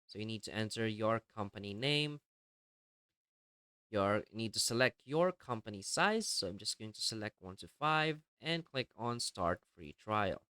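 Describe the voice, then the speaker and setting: A man with a fairly low voice walks through software steps as a tutorial presenter. His tone is clean and smooth, and his delivery is plainly instructional. The voice-over is dry, with hardly any room sound. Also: animated, measured pace, no room sound.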